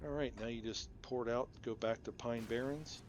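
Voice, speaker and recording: A man's voice: medium pitch, steady tone, moderate pace, noisy background. A man giving casual commentary as he plays through a video game. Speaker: gamer narrating a playthrough.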